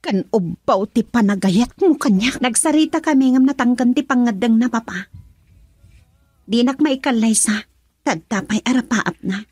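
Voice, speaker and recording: Heartbroken young daughter, high voice, Ilocano accent, radio love drama, dry studio sound. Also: female voice, moderate pace, darkish tone, breathy edge, dry recording. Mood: sorrowful.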